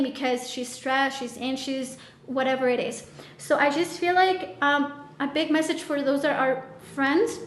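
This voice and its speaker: Woman tarot reader, high voice, chatty and direct, slightly strained, echoey room. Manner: chatty, loose, speaks straight to the viewer. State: voice feels off, a bit strained. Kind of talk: casual pick-a-card tarot reading to viewers.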